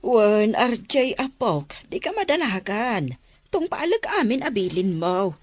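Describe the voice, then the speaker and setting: An actress playing a doting elderly grandmother in a radio drama, gentle and reassuring. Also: medium pitch, big pitch swings, unhurried. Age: older. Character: frail but proud elderly grandmother, doting.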